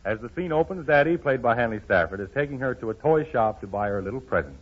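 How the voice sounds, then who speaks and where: A man's voice: medium pitch, lively intonation, fast. A man working as announcer, giving a scripted introduction in the style of 1930s radio. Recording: very noisy, muffled old radio recording.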